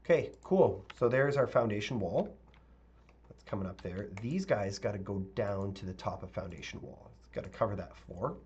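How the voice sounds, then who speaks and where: A man with a fairly low, slightly raspy voice walks viewers through his work on a live stream, chatting loosely and filling pauses with "um" and "uh." The audio is dull and lo-fi, with keyboard typing heard behind him. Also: slight background noise. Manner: relaxed, rambling, thinks aloud with fillers. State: slightly raspy voice, clearing throat. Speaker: architect teaching live.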